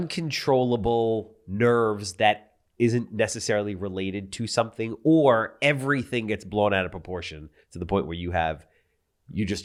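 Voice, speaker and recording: Blunt, lively man in his forties. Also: fairly low pitch. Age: 40s. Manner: blunt, profane, teasing. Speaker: podcast host. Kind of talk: casual podcast chat.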